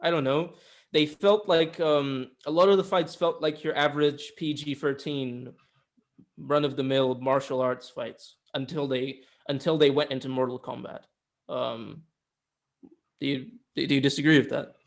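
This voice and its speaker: A man's voice: mid-range voice, fairly even intonation, normal speed, vocal fry. A man co-hosting a film review show in casual discussion, opinionated and informal, given to swearing. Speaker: film review podcast co-host.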